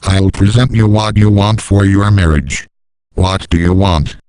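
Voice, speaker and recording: A storyteller voicing a farmer father from a folk tale, speaking in a plain, deliberate way. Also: deep voice, darkish tone, smooth, no room sound, voice pushed down, rough recording. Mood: grateful. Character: anxious, grateful old-time farmer father.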